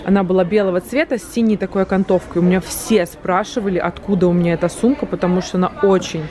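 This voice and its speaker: A woman's voice: fairly low pitch, steady tone, fast talker, mellow, slight background noise. A young woman from Latvia chatting animatedly to her viewers, filmed on a phone held at arm's length on a street, in shops and in a car. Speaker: vlogger. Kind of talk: casual vlog to camera. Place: city street and shop, then parked car. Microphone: phone camera at arm's length.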